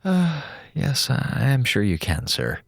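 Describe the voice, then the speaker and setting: A man's voice: mid-range voice, wildly swooping pitch, slow, gravelly, slightly rough, full character voice. An actor playing a sarcastic, weary, put-upon male communications officer in an audio drama. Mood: exasperated.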